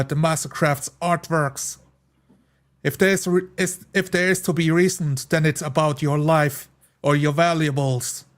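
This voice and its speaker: A man playing a tabletop roleplay, speaking in formal, deliberate wording as his character and narrating his actions. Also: fairly high pitch.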